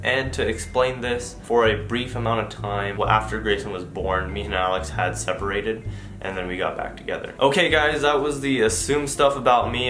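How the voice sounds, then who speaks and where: A young man whose first language is Tagalog, with a slight Filipino accent, chatting casually to camera as a vlogger with lots of fillers and restarts. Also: fairly low pitch. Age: young adult. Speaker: vlogger answering viewer assumptions. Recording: echoey room with music underneath.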